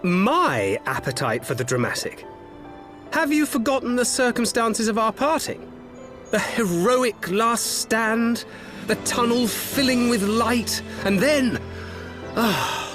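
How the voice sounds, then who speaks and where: Witty theatrical rogue over loud music. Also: male voice, extremely high pitch, expressive, takes it slowly, full character voice. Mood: indignant, dramatic. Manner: theatrical, archaic refined phrasing. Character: roguish male adventurer, witty, theatrical, self-mocking. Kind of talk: fantasy game voice acting. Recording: music behind the voice.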